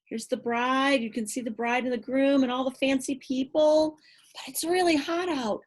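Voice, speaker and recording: A woman's voice: high voice, steady tone. A woman storyteller reading a picture book to children, playful and rhythmic, with chatty asides. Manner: playful, drawn-out, rhythmic delivery. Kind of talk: animated picture-book read-aloud with asides to young listeners.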